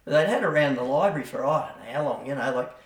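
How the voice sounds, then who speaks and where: A man's voice: mid-range voice, rapid-fire, distant mic. Older man telling a rambling yarn. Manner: rambling yarn-teller with slang and laughs. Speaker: podcast guest. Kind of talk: casual storytelling. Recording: roomy, from off the mic.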